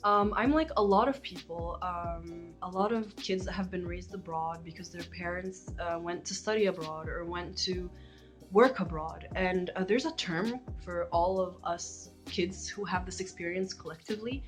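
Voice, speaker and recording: A woman's voice: lowish voice, normal speed, faint music behind. An Egyptian woman in her twenties, talking casually to camera about her life as a channel host, with many likes and ums. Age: 20s.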